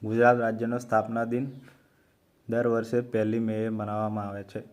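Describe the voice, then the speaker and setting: Gujarati accent guy reading quiz questions, monotone, slow and careful with each option. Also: on the low side. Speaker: quiz host for exam preparation.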